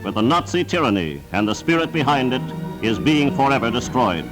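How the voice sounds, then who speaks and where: A man's voice: mid-range voice, sing-song, a little fast, over music. A man narrating a scripted wartime newsreel in an emphatic, declamatory announcer's manner, on a vintage 1940s soundtrack.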